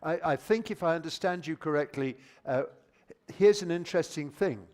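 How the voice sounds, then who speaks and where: A fairly high-voiced older man speaks into a handheld microphone to a teachers' audience. He is lively and informal. He often stumbles and restarts as he echoes listeners' comments. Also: moderate pace. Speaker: plenary speaker on teaching methodology. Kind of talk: live conference talk with audience discussion.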